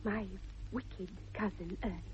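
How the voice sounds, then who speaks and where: A woman's voice: medium pitch, fairly even intonation, measured pace, a little mellow, slight background noise, natural voice. An actress playing a sly, artless young upper-class English girl in a precise, demure 1960s radio comedy. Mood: prim teasing. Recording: muffled, band-limited old radio broadcast.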